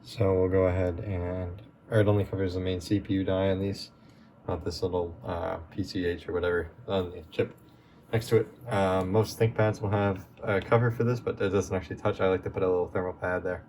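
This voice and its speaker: A man hosting a relaxed, chatty repair walkthrough, his voice going a bit hoarse as he works. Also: sits low, fairly quick, a little hiss or hum. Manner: relaxed, chatty, with many fillers. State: losing his voice. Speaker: tech repair video host.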